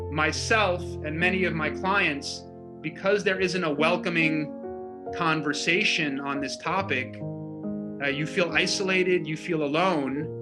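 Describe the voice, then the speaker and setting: A man with a notably high voice gives unscripted interview testimony. He halts and circles back over phrases. Guitar music plays clearly behind him. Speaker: therapist speaking of own experience.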